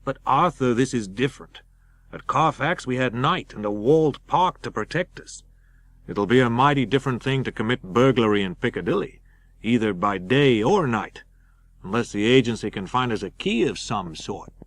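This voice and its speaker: A man voicing a practical, wary American gentleman adventurer with an American accent, emphatic and forthright, for an audiobook. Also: medium pitch, expressive, normal speed, no echo, natural voice. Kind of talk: dramatised audiobook character voice.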